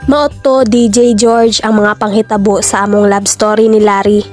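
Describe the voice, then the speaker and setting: A woman's voice: mid-range voice, level tone, moderate pace, darkish tone, soft background music, natural voice. A woman with a Filipino accent playing a heartbroken young woman narrating her love story in a radio drama. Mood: resolved, grateful. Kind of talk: radio drama letter narration, slow and sentimental.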